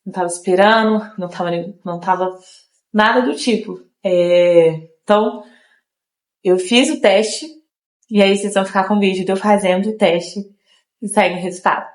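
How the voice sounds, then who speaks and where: A woman's voice: mid-range voice, moderate pace. A young woman from Brazil chatting casually to her viewers, a little nasal from a cold and often repeating herself. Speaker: vlogger. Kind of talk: casual chat to camera. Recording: echoey room with faint guitar music.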